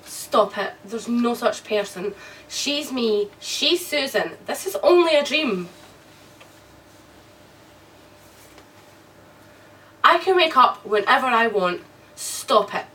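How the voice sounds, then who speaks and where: Desperate wife, bright high voice. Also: female voice, expressive, a little slow. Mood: anguished. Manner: urgent, pleading, emotional. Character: frightened, desperate young wife trapped in nightmare. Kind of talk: old radio drama acting. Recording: distant, noisy old radio recording.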